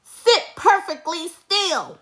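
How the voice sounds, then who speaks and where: A woman's voice: extremely high pitch, very animated, takes it slowly, pushed and bright, pitched above the performer's own voice, heavily acted. A woman reading a children's storybook, voicing a stern, menacing witchy teacher who snaps and hisses commands. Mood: stern, bossy. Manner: snapping, hissing, commanding. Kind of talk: children's storybook read-aloud.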